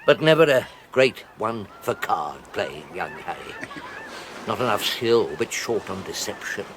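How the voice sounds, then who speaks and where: A chuckling, sly husband. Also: male voice, lowish voice, lively intonation, a little slow, a crowd in the background, background noise. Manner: chuckling, jovial, patronizing. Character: fussy middle-aged husband, chuckling, sly. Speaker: Arthur. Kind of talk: radio drama acting.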